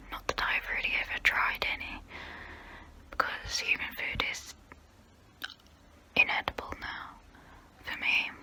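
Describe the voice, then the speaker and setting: A woman playing a guilty, melancholy centuries-old vampire in an ASMR role play, whispering in a slow, hesitant, wistful way with formal phrasing. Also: lowish voice, sharp tone, exaggerated voice, low-quality recording. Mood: wistful, conflicted. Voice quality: breathy whisper.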